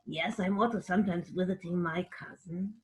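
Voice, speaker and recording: A woman's voice: on the low side, fairly even intonation, normal speed, close to an everyday voice. A woman voicing a chatty, eager, honey-loving bear puppet in a homely way for a children's story time.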